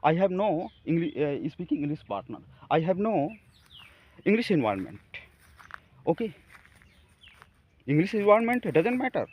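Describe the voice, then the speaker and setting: A man from India with an Indian accent, a Hindi speaker, talking haltingly to camera about practicing English, with many fillers and restarts. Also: fairly high pitch, very animated, a little mellow. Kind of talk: casual talk to camera.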